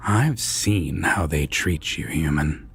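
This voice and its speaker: Man acting a very deep, husky vampire villain. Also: a bit bright, exaggerated voice. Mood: cold warning. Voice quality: low, husky, breathy, dropping into whispers. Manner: slow, deliberate, mocking, formal phrasing. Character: menacing, condescending male vampire villain, possessive captor. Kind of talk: dramatic roleplay audio acting.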